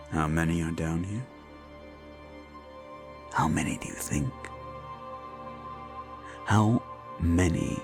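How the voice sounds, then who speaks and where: A deep, gravelly, sadistic slasher killer. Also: male voice, heavily acted. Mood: gloating menace. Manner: slow, menacing, theatrical, savouring pauses, drops into whispers. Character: sadistic hulking male slasher killer, gloating and patient. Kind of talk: horror roleplay audio monologue.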